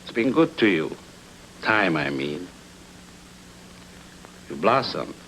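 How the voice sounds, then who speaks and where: A man's voice: sits low, sing-song, slow, a bit bright, a little hiss or hum, heavily acted, rough recording. A man playing a suave, coolly menacing crook in a noir radio drama, polite, dry and controlled.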